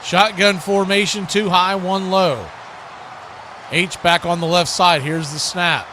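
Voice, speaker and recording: A man's voice: sits high, slow pace. An American man doing live football play-by-play, giving clipped present-tense calls mixed with chatty asides. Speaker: play-by-play sports commentator. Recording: clear steady background noise.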